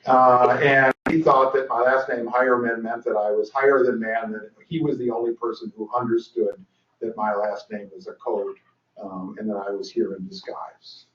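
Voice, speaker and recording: A man's voice: mid-range voice, little pitch movement, normal speed. Older man lecturing in an echoey hall. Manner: dry humor, frequent fillers and restarts. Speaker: lecturer at a legal training. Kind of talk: conference lecture. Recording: roomy, distant, dull lecture recording.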